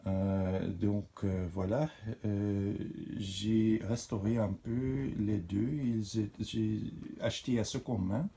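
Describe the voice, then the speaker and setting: A man for whom French is not his first language, hosting a model railway channel and talking in a casual, halting way with many fillers. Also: on the low side, steady tone, dark tone. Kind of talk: casual hobby show-and-tell. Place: indoors beside a model railway layout.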